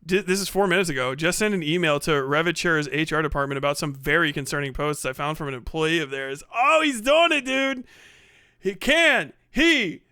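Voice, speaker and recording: A man's voice: on the high side, animated, normal speed, sharp tone, clear, resonant tone, no echo, studio quality. A man hosting a casual livestream, chatting and replying to viewers in slangy, profane language. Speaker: livestream host. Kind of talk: casual livestream chat, reading and answering viewer comments.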